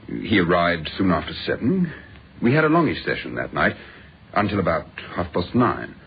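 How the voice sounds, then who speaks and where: An actor playing a genial, self-assured British doctor in a radio drama, speaking in an urbane, conversational way. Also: male voice, sits low, very animated, normal speed, husky, exaggerated voice. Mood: genial, confiding. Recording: dull, faintly noisy radio recording.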